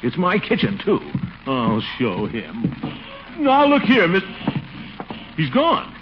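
A man's voice: on the high side, wildly swooping pitch, slow, faint music behind, heavily acted. An actor playing a blustery, pompous middle-aged man with a jealous streak in a 1940s radio comedy, full of exclamations and mutters. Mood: sulky, then indignant. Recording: noisy, muffled 1940s radio recording.

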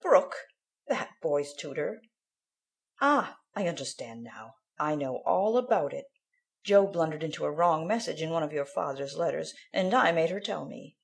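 Lady putting on a bossy old rich aunt, low voice, stern and scandalized, storybook. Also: lively intonation, normal speed, vocal fry. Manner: stern, insistent, lecturing.

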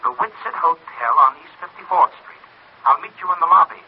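An anxious, evasive husband in vintage radio drama. He is hesitant and quick, heard down a tinny telephone line with faint hiss. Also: a little high. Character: nervous, evasive husband with something to hide. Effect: telephone filter. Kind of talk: 1940s-50s radio acting. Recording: thin phone-filtered old radio, faint hiss.

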